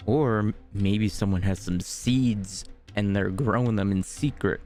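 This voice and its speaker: Casting: a wry gameplay streamer with a low voice and casual delivery. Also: a little slow, a little mellow, soft background music. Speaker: gaming streamer.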